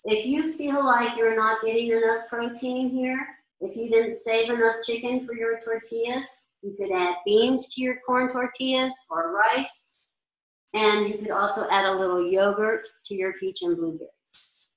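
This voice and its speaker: An older woman in her sixties talks through a week of easy meals as a chef teaching a class, chatty and practical. Her voice sits a little high. The sound bounces around a large, echoey kitchen, picked up by a camera mic from a few steps away. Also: steady tone, unhurried. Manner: chatty, practical, standing and gesturing at boards. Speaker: chef teaching meal planning. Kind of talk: informal cooking class talk. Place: large indoor commercial kitchen.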